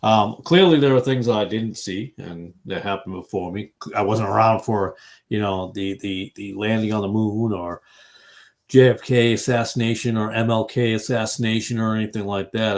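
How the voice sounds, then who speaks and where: A man in his fifties from Massachusetts hosting a casual online fellowship chat, rambling and thinking aloud with lots of fillers while he responds to viewers' comments. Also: fairly low pitch. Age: 50s. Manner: reacts to viewers' comments as he goes. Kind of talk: casual livestream group conversation.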